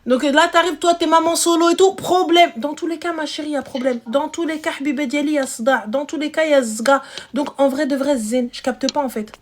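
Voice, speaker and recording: A woman's voice: high voice, a little fast. A single mother hosting a live stream, chatting casually and emphatically with slangy speech and repeated phrases.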